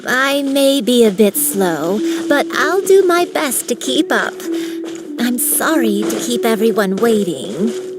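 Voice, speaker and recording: A frail, forgetful old granny. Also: female voice, on the high side, expressive. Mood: gentle. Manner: slow, soft, wistful. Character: frail, forgetful old grandmother, gentle and apologetic. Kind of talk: video game voice acting. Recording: game audio with clear music.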